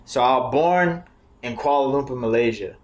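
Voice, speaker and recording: Profane American podcast host. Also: male voice, mid-range voice, moderate pace. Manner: loose, profane banter. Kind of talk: casual chat. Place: grandparents' house, indoors.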